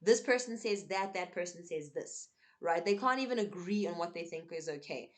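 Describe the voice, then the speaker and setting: Earnest South African woman, slightly low. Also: expressive, normal speed. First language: not English. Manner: earnest, emphatic, with frequent "um" and "right?". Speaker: vlogger. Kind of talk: unscripted commentary to camera.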